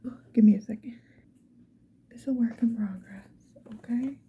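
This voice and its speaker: A woman whispering casually and playfully to the viewer in a chatty ASMR get-ready-with-me style, mostly in a breathy, unvoiced whisper. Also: fairly high pitch, normal speed, phone-quality sound. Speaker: ASMR video creator.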